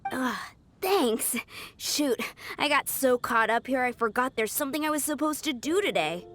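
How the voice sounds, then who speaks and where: An eager young knight trainee. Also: female voice, high voice. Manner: earnest, enthusiastic, a little flustered. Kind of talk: video game voice acting. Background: game music.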